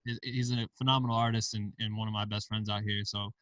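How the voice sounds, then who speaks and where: A man's voice: lowish voice, level tone, fast, a bit bright, no room sound, distant mic, low-quality recording. A man from Iowa, a podcast guest, telling stories in a laid-back way with casual slang. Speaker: podcast guest, film director and founder. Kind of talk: casual interview chat.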